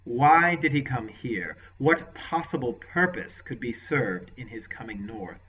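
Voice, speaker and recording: A man's voice: medium pitch, expressive, unhurried, fairly bright tone, slight background noise. A man voicing an imperious villainous lord. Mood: imperious scheming. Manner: commanding, contemptuous. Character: ruthless scheming male warlord lord, venomous and imperious. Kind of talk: audiobook character voice. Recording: lo-fi dull recording.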